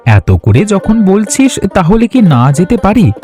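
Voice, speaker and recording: A man's voice: high voice, big pitch swings, a little slow, warm tone. A man voicing an honest, timid husband who warns his greedy wife. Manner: anxious, scolding. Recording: voice over music.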